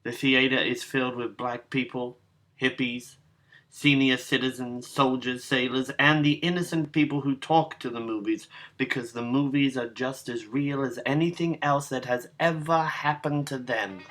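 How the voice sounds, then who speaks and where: Man reading literary fiction in measured phrases. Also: mid-range voice, fairly even intonation, a bit bright. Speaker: narrator, reader. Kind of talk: literary short-story reading.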